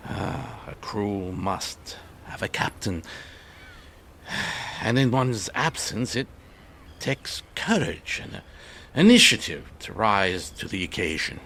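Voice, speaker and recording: An actor in an audio drama playing a genial, generous older shipowner, avuncular and measured, with small hesitations. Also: male voice, on the low side, sing-song, gravelly, sharp tone, a little hiss or hum, full character voice. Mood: measured, firm.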